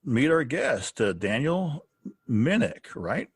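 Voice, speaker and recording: A man co-hosting a podcast interview in a casual, halting, chatty way, often chuckling. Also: medium pitch, sing-song, breathy edge, dry recording, studio quality. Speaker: podcast co-host.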